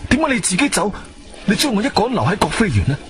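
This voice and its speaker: An actor with a Cantonese accent playing a hot-tempered, freshly blinded adventurer hero in a radio drama, agitated, emphatic and exclamatory. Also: male voice, high voice, huge pitch swings, quick pace, fairly bright tone, full character voice. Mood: angry. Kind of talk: radio drama acting with first-person narration. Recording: background music under the voice.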